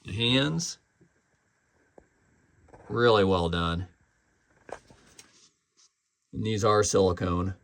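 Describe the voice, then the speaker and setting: A man's voice: low-pitched, very slow, vocal fry, pauses often, a bit bright. A man casually reviewing a collectible in an unscripted, conversational way.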